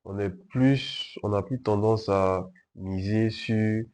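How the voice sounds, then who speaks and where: A young man from Ivory Coast, a guest answering in a casual interview, calm and hesitant, searching for his words. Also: fairly low pitch, slow pace, slight background noise. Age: young adult. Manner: hesitant, calm, frequent fillers and restarts. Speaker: guest entrepreneur.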